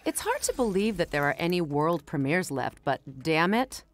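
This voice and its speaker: A woman's voice: lowish voice, sing-song, moderate pace. A woman presenting a games showcase from a script.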